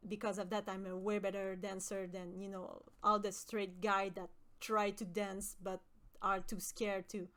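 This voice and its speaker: A young woman from with a high voice, chatting with her stream viewers and often pausing with fillers. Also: a bit bright. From Quebec. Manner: hesitant, many fillers and restarts. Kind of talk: casual chat with stream viewers.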